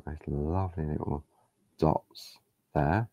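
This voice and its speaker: A man hosting a live craft-along, chatting casually and rambling as he works, with a hoarse voice after days of talking. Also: a bass voice, steady tone, takes it slowly, no echo, dull audio. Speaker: craft demonstrator. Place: craft studio table. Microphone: overhead camera on hands, speaker unseen.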